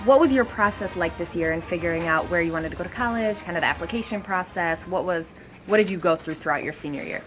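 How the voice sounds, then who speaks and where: Brisk fast-talking woman interviewer. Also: lowish voice, music in the background. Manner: brisk, string of questions. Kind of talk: interview questions.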